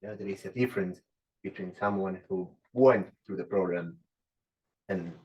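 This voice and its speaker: A man giving a brief, measured comment on a panel. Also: sits low, darkish tone. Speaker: roundtable participant. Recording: far-off, muffled mic.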